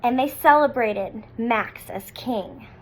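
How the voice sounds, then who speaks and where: Woman, thirties, high voice, unhurried pace, expressive children's read-aloud, deliberate pauses. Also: slight background noise, poor audio. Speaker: picture book reader.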